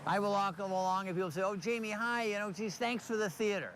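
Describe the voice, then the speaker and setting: An older man with a slightly high voice, chatting in a casual on-location interview. He talks in a folksy way, with faint noise behind him. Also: a little fast, a bit bright. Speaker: movie theater owner being interviewed. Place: inside a small movie theater building.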